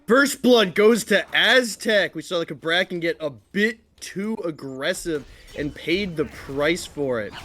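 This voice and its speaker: A man giving live esports commentary and analysis as a shoutcaster. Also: sits high, animated, unhurried, few pauses, a little hiss or hum.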